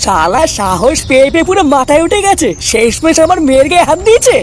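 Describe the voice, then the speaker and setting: A man voicing a vengeful, swaggering cartoon father and club boss, emphatic and threatening, with a pitched-up voice. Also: very animated, quick pace, soft background music. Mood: angry, threatening. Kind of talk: Bengali comedy cartoon dub.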